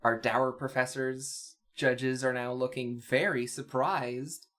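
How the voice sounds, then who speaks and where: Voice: mid-range voice, fairly even intonation, slow, a bit bright. A co-host giving casual comic commentary in a wry, hesitant, nitpicking way.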